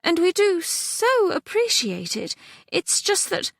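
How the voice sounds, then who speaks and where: A woman voicing an anxious, faltering genteel mother in a storybook reading, her voice pitched high above her own. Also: wildly swooping pitch, slow pace, creaky, a bit bright, no room sound, heavily acted. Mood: flustered.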